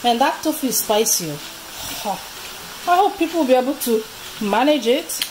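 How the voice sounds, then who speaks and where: A woman's voice: high-pitched, lively intonation, normal speed, background noise. A woman thinking aloud in a casual cooking vlog as she works in her home kitchen. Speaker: home cook vlogger. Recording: loud music behind the voice.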